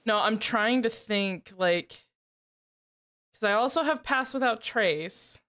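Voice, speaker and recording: A woman playing a tabletop role-playing game, talking casually and deliberately as she thinks aloud. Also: mid-range voice, a bit bright.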